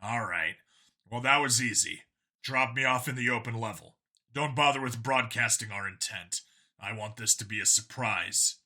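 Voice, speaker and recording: Man acting a curt, bright-voiced space cop. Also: medium pitch, moderate pace. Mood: curt, decisive. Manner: curt, dry, authoritative. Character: human male alien-world cop, calm, commanding, dryly confident. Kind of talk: audiobook character voice.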